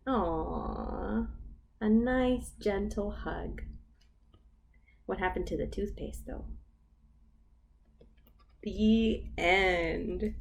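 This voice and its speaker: A woman leading a storytime for babies as a children's librarian, reading in an exaggerated sing-song way with gasps and hushed whispers. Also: mid-range voice, vocal fry, slight background noise.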